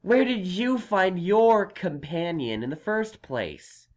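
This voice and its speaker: A woman playing a brainy, exasperated young male unicorn magician in a fan audio drama, questioning in a measured way. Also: lowish voice, big pitch swings, a little mellow. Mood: exasperated probing.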